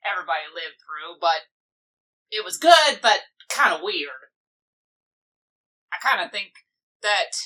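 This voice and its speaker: A woman playing a boastful, excitable, playfully bossy pony pirate captain in a cartoon fan dub, with theatrical pirate talk. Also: fairly low pitch, heavily acted, low-quality recording. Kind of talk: fan comic dub voice acting.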